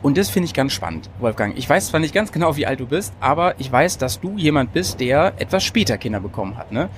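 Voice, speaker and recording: A man's voice: medium pitch, big pitch swings, fast talker, slight background noise. A man hosting a podcast, talking in a bubbly, rambling way with frequent laughs, recorded on a train. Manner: bubbly, giggly, rambling with fillers. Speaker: podcast host. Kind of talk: casual chat.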